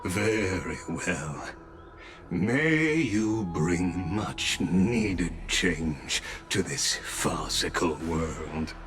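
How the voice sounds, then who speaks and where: A man's voice: sits low, lively intonation, very slow, over music, heavily acted. A man playing a solemn, impartial arbiter in a fantasy game, speaking in a grave, ceremonial manner. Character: solemn, impartial male arbiter presiding over a vote. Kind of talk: formal game voice acting.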